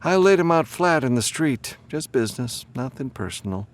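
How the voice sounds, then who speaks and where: A man's voice: mid-range voice, animated, normal speed, pitched above the performer's own voice, lightly acted. A man voicing a brash, cocky woman mercenary in an audiobook, breezy, teasing and a little impatient. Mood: sweetly needling.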